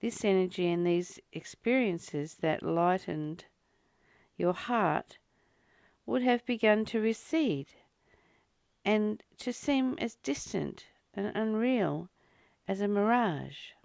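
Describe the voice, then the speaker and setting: A woman hosting a spiritual study, reading a text aloud and commenting on it in deliberate, measured phrases. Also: mid-range voice, animated, vocal fry, darkish tone, dry recording, clean studio sound. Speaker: host of daily spiritual readings.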